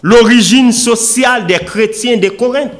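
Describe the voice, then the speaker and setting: A man preaching a sermon in an oratorical, emphatic manner, with deliberate pauses and repeated phrases. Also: extremely high pitch. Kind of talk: sermon with scripture readings and rhetorical questions.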